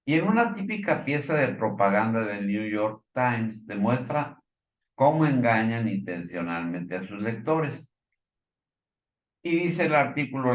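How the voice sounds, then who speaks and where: A man with a Mexican accent giving informal news commentary, partly reading from reports, in a halting, hesitant way with fillers and stumbles. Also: fairly low pitch, roomy sound, dull audio.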